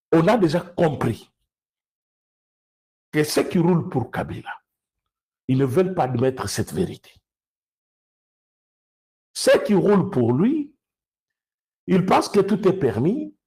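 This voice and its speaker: A man with a Congolese accent speaks in a fairly high, distinctly husky voice. He gives off-the-cuff political commentary, moving between two languages. He is emphatic and repeats phrases to drive them home. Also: a little mellow.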